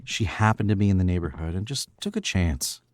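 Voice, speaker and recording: A man's voice: low-pitched, animated, a little fast, no room sound, clean studio sound. A young man playing an arrogant, controlled murderer in a 1940s-style radio drama, with clipped, smug commands. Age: young adult.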